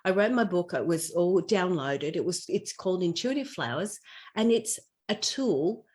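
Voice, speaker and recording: A woman's voice: fairly low pitch, lively intonation, moderate pace, a little mellow. A woman with an Australian accent giving chatty, earnest answers as a podcast guest, explaining things through everyday examples. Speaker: podcast guest, intuition teacher and digital marketer. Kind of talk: conversational interview answers.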